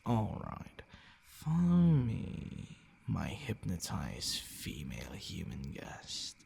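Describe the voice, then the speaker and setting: A man playing an imperious but secretly softening male vampire in an ASMR roleplay, drawling theatrically with murmured asides. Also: low voice, wildly swooping pitch, slow pace, gravelly, husky, exaggerated voice. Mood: hypnotic, commanding.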